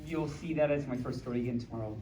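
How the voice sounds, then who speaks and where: A playful storyteller reading picture books aloud to a small audience, with childlike, cutesy phrasing and excited asides. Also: mid-range voice, quick pace. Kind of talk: playful live story time with ad-libbed asides. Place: small room at a convention. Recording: echoey room from far off, faint noise.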